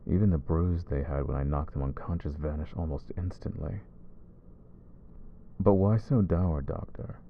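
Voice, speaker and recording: A man voicing a brooding male vampire who hunts his own kind, speaking low and measured in an ASMR roleplay. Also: phone-quality sound, slight background noise. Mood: troubled.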